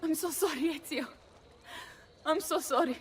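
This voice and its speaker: A woman's voice: high-pitched, normal speed, far from the mic, slight background noise, close to an everyday voice. A woman playing a brash, foul-mouthed Italian noblewoman and ruler in a game cutscene, with an Italian accent and speech peppered with Italian. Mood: remorseful. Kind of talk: video game cutscene acting.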